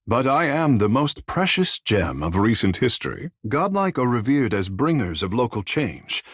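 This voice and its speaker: A man playing a proud, vain young godlike islander sea mage in a fantasy video game, speaking in lofty, flowery, formal phrases with a husky, breathy voice. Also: medium pitch, very animated, moderate pace, no room sound, clean studio sound. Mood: proud, boastful. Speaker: companion character in a fantasy game. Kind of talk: theatrical video game dialogue.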